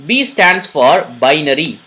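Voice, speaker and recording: A man's voice: a little high, big pitch swings, measured pace, pushed and bright, low-quality recording. A man teaching a live online programming class. He repeats each point and often checks that his listeners are following. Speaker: programming instructor.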